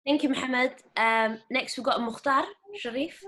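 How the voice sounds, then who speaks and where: A woman's voice: high-pitched, fairly even intonation, moderate pace, a bit bright. A woman hosting an online panel, giving brief moderating remarks to thank and introduce speakers. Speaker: panel host.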